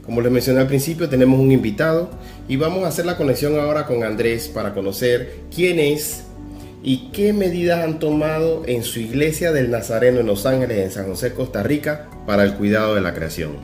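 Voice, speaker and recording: Guy hosting a show, talking to the audience, echoey room w some soft music behind. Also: mid-range voice, animated, brisk. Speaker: host. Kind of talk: presenting a programme to camera or listeners. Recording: roomy echo, music underneath.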